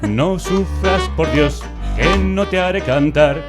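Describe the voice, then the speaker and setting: A man's voice: a little high, slow. A man with an accent from Spain interviewing a guest playfully as a podcast host. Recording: loud guitar music behind voice.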